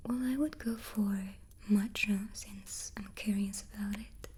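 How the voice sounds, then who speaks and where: Softly whispering woman, slow and even. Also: medium pitch, husky. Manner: soft unvoiced whisper throughout; snacking on cookies while talking. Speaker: ASMR host. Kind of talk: whispered ASMR chat answering questions. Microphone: close ASMR microphone.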